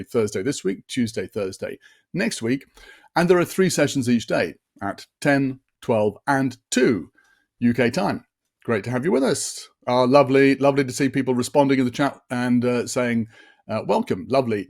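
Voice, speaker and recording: A man's voice: medium pitch, darkish tone. Chatty older man presenting a webinar. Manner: chatty and upbeat, many fillers and restarts. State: slightly deeper voice from a late night. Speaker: conference session presenter.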